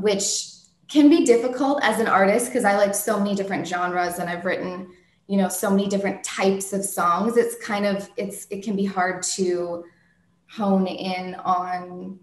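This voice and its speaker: A woman, a singer-songwriter, answering interview questions in a soft soprano voice, thoughtful, with many ums and restarts. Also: medium pitch, fairly even intonation, unhurried, roomy sound.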